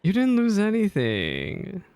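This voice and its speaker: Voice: medium pitch, huge pitch swings, a little mellow, no echo. Chatty game streamer reacting. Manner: chatty, quick to laugh and cheer on characters. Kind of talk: casual live reaction commentary.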